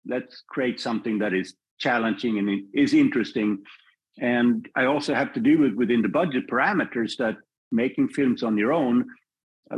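A man with a slightly husky voice talks about his work in a measured, reflective way. He often stops and restarts his phrases as he thinks. The recording sounds dull and muffled. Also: mid-range voice. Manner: thoughtful, measured, with frequent restarts. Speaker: filmmaker guest. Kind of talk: reflective podcast conversation.

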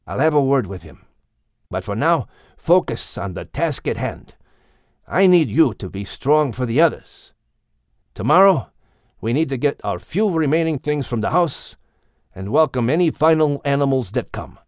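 Guy reading a calm fatherly leader in a storybook, firm but kind, dry recording. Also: medium pitch, normal speed, dry recording, natural voice. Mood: resolute, kind. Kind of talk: audiobook dialogue.

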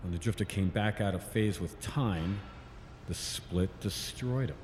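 A man's voice: low voice, unhurried, a little mellow. A man playing a dutiful, candid scientist in an audio drama, sober and frank. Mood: grim. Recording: echoey hall, faint noise.

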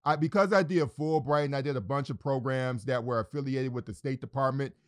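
A man hosting a show, asking questions in a relaxed, conversational way. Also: mid-range voice, fairly quick. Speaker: show host. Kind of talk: casual interview chat.

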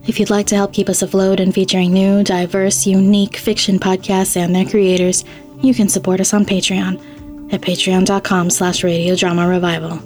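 A woman's voice: medium pitch, flat delivery, moderate pace, darkish tone. A woman hosting a podcast, reading scripted credits and announcements. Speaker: host. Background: faint music.

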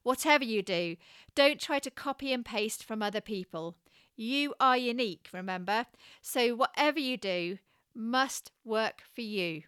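A woman with a West Country English accent, giving scripted coaching advice in a measured, encouraging way. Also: a little high, expressive, a bit bright, smooth, dry recording, clean studio sound. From England. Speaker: communication coach.

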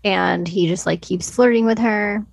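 A woman chats informally as a podcast co-host discussing film. Her voice has a noticeable gravelly fry at a middling pitch, and the sound is dry and close. Her phrasing is loose and conversational, full of filler words. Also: measured pace, no echo.

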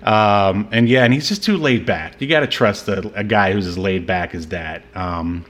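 A man's voice: lowish voice, a bit bright. Man co-hosting a jokey movie podcast. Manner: jokey, quick to laugh. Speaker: podcast co-host. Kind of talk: casual movie review banter.

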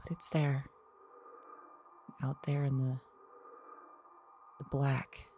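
A woman's voice: a bass voice, level tone, drawn-out words, dark tone, a little hiss or hum, full character voice. A woman playing a frightened woman who whispers, repeats herself and breaks off mid-sentence. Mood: hushed. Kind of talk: audio drama monologue.

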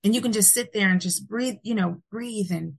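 A woman's voice: medium pitch, moderate pace, vocal fry, breathy edge. A woman hosting a podcast, effusive and gushing with thanks and praise for her guest. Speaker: podcast host. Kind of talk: casual interview talk.

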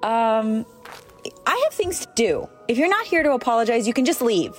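A woman's voice: fairly high pitch, sing-song, normal speed, faint music behind. A woman playing an exasperated, scornful nun in a comedy audio drama, curt and sarcastic in her delivery. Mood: irritated. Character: exasperated, sharp-tongued pregnant young nun, scornful.

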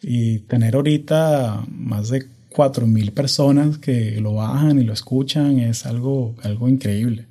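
A man in his thirties with a Venezuelan accent, hosting a casual podcast with energetic, hyperkinetic talk that mixes in English words. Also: medium pitch, measured pace, few pauses. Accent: Venezuelan Spanish. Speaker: podcast host. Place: home podcast studio. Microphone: studio microphone.

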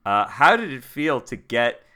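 A man's voice: medium pitch, sing-song, unhurried, pushed and bright. A man chatting casually as a player in an improvised tabletop game.

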